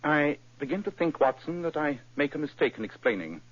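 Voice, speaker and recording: A cool, brilliant detective who lectures in a dry, precise voice in a vintage radio play. His pitch sits fairly high and moves a lot, with a husky grain. The recording is dull and lo-fi. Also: sing-song, fairly quick. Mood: deducing. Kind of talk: old-time radio drama.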